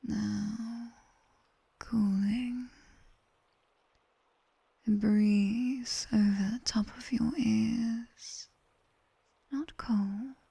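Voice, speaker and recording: British woman doing a teasing demoness tutor for asmr, super slow breathy whisper, bossy, right up in your ear. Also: a little high, dark tone, exaggerated voice. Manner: slow, intimate, teasing, commanding. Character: sharp-tongued, teasing female nightmare demon tutor. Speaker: ASMR performer. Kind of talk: whispered ASMR roleplay, guided body scan. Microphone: close binaural ear microphone.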